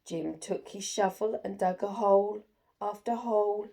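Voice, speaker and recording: A woman's voice: mid-range voice, measured pace, mellow. A woman storyteller reading a children's picture book aloud with expression.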